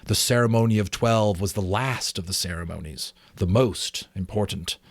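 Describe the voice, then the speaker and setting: Low-voiced man reading a story aloud. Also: normal speed. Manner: expressive storytelling. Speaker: amateur audiobook reader. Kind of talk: dramatic audiobook reading with offhand asides.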